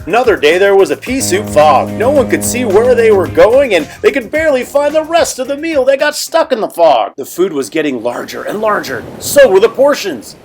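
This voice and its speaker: Male storybook reader, high-set voice with very lively swings and dramatic emphasis, faint music underneath. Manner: animated, dramatic emphasis for children. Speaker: teacher reading a picture book aloud. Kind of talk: expressive storybook read-aloud narration.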